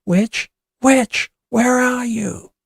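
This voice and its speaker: A woman voicing rowdy young boys in monster costumes, chanting and yelling. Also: fairly high pitch, steady tone, drawn-out words, husky, dry recording, clean studio sound, pitched above the performer's own voice, full character voice. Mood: calling out. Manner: shouting, chanting.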